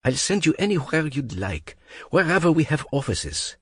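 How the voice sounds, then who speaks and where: A man voicing a smug, drunk French billionaire with a thick, smooth, slurring French accent. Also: a little high, big pitch swings, normal speed, no room sound, studio quality, pitched above the performer's own voice. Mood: suave.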